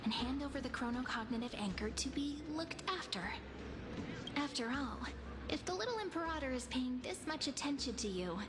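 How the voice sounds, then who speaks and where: High-voiced young adventurer girl. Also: noisy background. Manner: casual, forthright. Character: young adventurer girl, friendly, curious and practical. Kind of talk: scripted video game voice acting.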